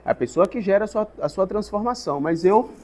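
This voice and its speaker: A man, a guest teacher giving a thoughtful, halting interview answer with many restarts. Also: high voice, animated, warm tone. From Salvador, Brazil.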